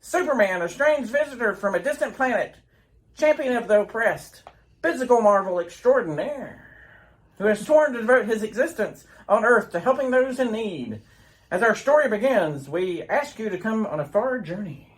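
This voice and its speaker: Animated woman as theatrical radio announcer. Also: on the high side, normal speed, low-quality recording. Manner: theatrical, dramatic announcer delivery. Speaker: announcer and narrator. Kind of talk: scripted old-time radio serial narration.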